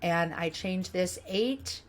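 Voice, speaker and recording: A woman's voice: lowish voice, expressive, slow. A middle-aged woman hosting a casual screen-recorded tutorial, chatty and friendly as she explains each step, recorded on a webcam microphone in a small room. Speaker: tutorial host.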